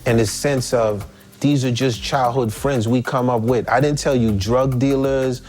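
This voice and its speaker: New guy, middle aged, slangy and animated, asks rhetorical questions, medium voice, faint music behind. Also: medium pitch, unhurried. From Haiti. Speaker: musician guest. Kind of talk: relaxed storytelling interview.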